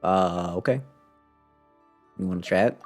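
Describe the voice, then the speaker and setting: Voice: sits low, takes it slowly. Streamer chatting over a game, playful n dry, sarcastic, music behind. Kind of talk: casual live gameplay commentary.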